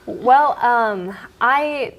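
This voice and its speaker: A woman's voice: on the high side, very slow, rough recording. Earnest young woman student, rambling answers. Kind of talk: interview answers. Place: studio.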